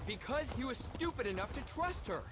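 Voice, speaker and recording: A man's voice: very high voice, expressive, brisk, background noise. An actor playing a bitter, distrustful young man in a fantasy video game, heated and accusing. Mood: angry. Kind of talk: dramatic video game acting.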